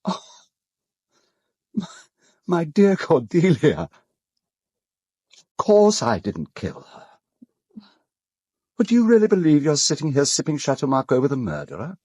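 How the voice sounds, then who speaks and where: An actor with a British accent playing an urbane, cold, self-justifying gentleman in an audio drama, speaking in a measured, articulate, dryly ironic way. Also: a little high, wildly swooping pitch, slightly rough, dry recording, heavily acted. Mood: smooth, self-justifying.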